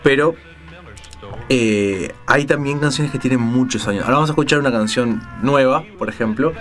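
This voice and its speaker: A man with a Rioplatense accent talks casually about music, as a presenter would. His pitch is average, and he wanders through his explanations, thinking aloud. Music plays softly behind him. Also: moderate pace. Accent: Rioplatense Spanish. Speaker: radio music show presenter.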